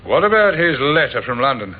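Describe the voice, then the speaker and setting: A man's voice: medium pitch, very animated, a little fast, close to an everyday voice. An actor playing a polite, methodical Scotland Yard inspector in an old-time radio drama, courteous and a little hesitant as he questions. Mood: pensive. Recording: dull, noisy vintage radio recording.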